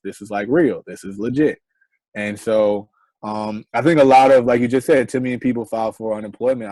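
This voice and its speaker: A man's voice: fairly low pitch, a little mellow, no room sound, rough recording. A man in his twenties, a guest entrepreneur on an online interview, giving earnest advice and often tagging lines with "right?". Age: 20s. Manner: earnest, repeats "right?" as a tag.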